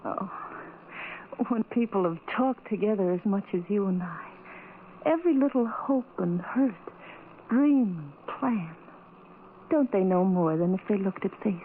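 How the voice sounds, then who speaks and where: A woman's voice: mid-range voice, animated, unhurried, long pauses, natural voice. An actress playing a tender, adoring young blind woman in an old-time radio drama, speaking earnestly and romantically. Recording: tinny, noisy old radio recording.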